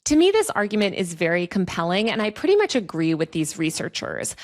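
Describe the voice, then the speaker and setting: A woman's voice: on the low side, big pitch swings, a little fast. A woman presenting a scripted video essay in a conversational, wry, opinionated way, with casual asides. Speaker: video essayist, online culture commentator.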